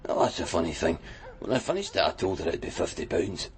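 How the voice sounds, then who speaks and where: An actor playing a chatty, wistful Glasgow tattooist in a radio crime drama, rambling colloquially with a Scottish accent. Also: male voice, deep voice, big pitch swings, quick pace, music in the background. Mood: frank, unhurried. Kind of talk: naturalistic radio drama acting.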